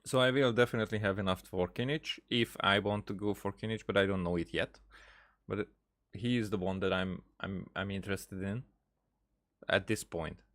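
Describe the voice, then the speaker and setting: A man streaming a game with casual commentary, thinking aloud in a halting way and repeating words. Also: lowish voice, steady tone, a bit bright. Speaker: gaming streamer.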